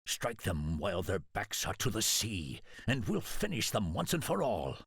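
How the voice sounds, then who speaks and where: An actor playing a loyal, stern, stubborn warrior in a video game, husky and emphatic in a measured delivery. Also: male voice, on the low side, big pitch swings, fairly bright tone, dry recording, studio quality, exaggerated voice. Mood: defiant, firm. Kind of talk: video game cutscene acting.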